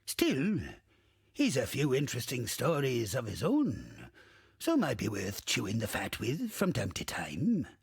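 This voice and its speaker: Gravelly, husky old ghost. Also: male voice, medium pitch, huge pitch swings, measured pace, no room sound, studio quality, full character voice. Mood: roguish, bawdy. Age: older. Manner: theatrical, salty archaic pirate talk, swooping and drawling. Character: bawdy, wistful old dead pirate sailor ghost. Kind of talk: video game character monologue.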